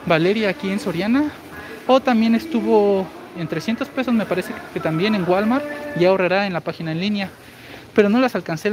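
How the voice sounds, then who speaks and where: A man in his forties with a high, girlish-sounding voice, chatting through a live store walkthrough and reading viewer comments. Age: 40s. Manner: chatty, explains deals while walking. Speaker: shopping deals streamer. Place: large indoor supermarket. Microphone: phone held while walking. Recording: noisy store ambience.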